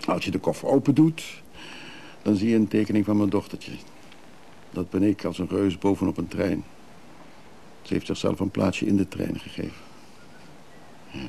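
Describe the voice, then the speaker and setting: A fussy, rambling male traveller in a radio drama. His low, creaky voice is dry and hesitant, with a faint noise on the recording. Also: warm tone. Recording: noisy radio play recording.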